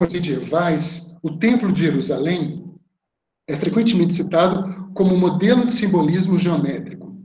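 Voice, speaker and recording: A man's voice: sits high, dark tone. A man with a Brazilian Portuguese accent gives an art history lecture over shared slides on a video call, first reading a prepared text, then explaining the slides with some hesitant fillers. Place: home room on a video call. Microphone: webcam or laptop mic on a video call. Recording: roomy video call audio.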